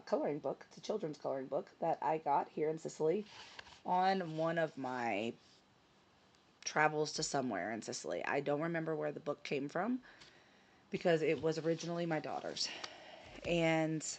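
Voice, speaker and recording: A woman hosting her own vlog in casual chat, a little hoarse from a scratchy throat, talking with frequent ums and self-corrections. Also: low voice. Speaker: channel host. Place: her home in Sicily.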